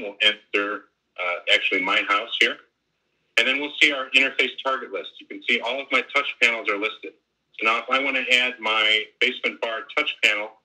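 A man gives a conversational technical walkthrough over a video call. His voice sits slightly low, with an extremely bright, sharp edge. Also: low-quality recording. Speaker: technical specialist giving a demonstration. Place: home room, indoors, on a video call. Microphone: wired earbuds on a laptop video call.

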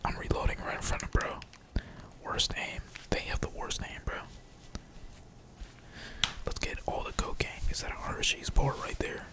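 A man's voice: moderate pace, pauses often. Whispering man doing ASMR gaming commentary. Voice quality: breathy whisper. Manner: slangy, sweary, playful muttering. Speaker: gaming streamer, channel host.

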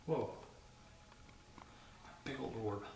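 A man working as a paranormal investigator in a dark indoor hall, calling out to spirits. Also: mid-range voice, takes it slowly, vocal fry. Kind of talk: ghost hunt, calling out to spirits. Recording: echoey, noisy handheld camera audio.

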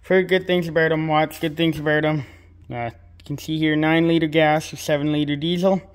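A man's voice: fairly high pitch, fairly even intonation, brisk, clear, resonant tone. Easygoing young farmer talking as he works. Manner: laid-back, rambling, lots of fillers. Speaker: farm vlogger. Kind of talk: casual narration while working. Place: farm workshop and barn.

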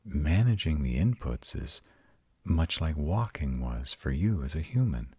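A man playing an ancient vampire who tenderly comforts his mate in a soft, intimate roleplay audio drama. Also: sing-song, dry recording, studio quality, heavily acted. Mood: tender, apologetic. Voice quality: very deep, husky. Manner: soft, intimate, slow reassurance. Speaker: voice actor.

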